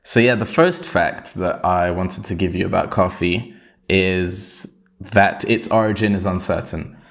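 A deep-voiced man co-hosting a relaxed podcast, speaking in a measured, somewhat formal way. His humor is dry and understated, and he chuckles now and then. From Switzerland. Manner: dry, wry and measured, slightly formal phrasing. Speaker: podcast co-host. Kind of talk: casual chat.

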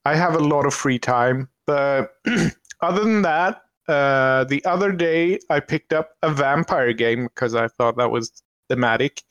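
Slow-talking Swedish man. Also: medium pitch, fairly bright tone, smooth, no echo, lo-fi sound. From Sweden. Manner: slow, hesitant, with many ums and restarts. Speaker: streamer and podcast guest. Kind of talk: casual chat.

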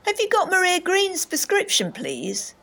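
A woman playing a calm, sly shopper. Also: extremely high pitch, measured pace, gravelly, full character voice. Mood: polite. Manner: calm, polite, matter-of-fact. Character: cool sly female shopper, forties, shoplifter.

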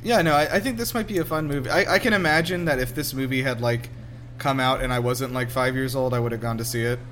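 A man's voice: mid-range voice, quick pace, noisy background. A man in his twenties hosting a casual film commentary chat, asking lots of questions as he goes. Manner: inquisitive, asks lots of questions. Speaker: podcast host.

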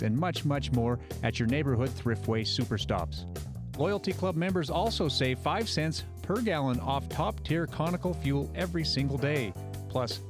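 A man reading scripted radio-style ads in a smooth promotional way, over clear guitar music. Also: medium pitch. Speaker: ad announcer.

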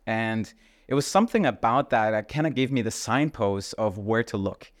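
Brief: sincere male host, lowish voice, dry close mic. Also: animated, no echo. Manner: earnest, grateful, halting with fillers. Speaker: podcast host. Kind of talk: casual interview.

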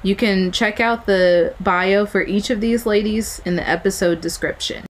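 A woman's voice: medium pitch, normal speed, slight background noise. A young woman hosting a podcast, reading an intro and then welcoming guests in an upbeat way. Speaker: podcast host. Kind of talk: podcast intro and group interview.

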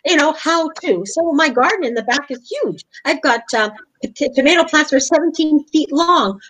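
Chatty woman host with a high bright voice, laughing often, easy friendly back-and-forth. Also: expressive, moderate pace, poor audio. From Canada. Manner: upbeat, chatty, laughs easily. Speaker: talk show host. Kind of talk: casual interview chat.

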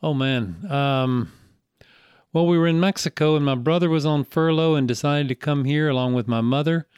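A man's voice: on the high side, moderate pace, a little mellow, clean tone, dry recording, studio quality. A man in his sixties with a Southern US accent, a church elder talking in an easygoing, folksy, rambling way as an interview guest. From Greenville, South Carolina. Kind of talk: casual interview conversation.

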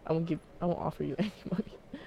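A man's voice: a little high, creaky, warm tone, slight background noise. A young man from the Philippines co-hosting a casual chat podcast, playful and teasing. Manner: playful, teasing, quick to laugh. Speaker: podcast co-host.

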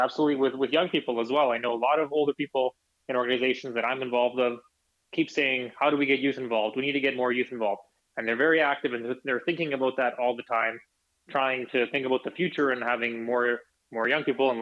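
A man's voice: mid-range voice, fast. A young man from Canada answers interview questions in an easygoing manner. He often repeats a word before going on. His voice comes through a slightly muffled, lo-fi remote line. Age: young adult. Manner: relaxed, repeats words while thinking. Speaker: guest.

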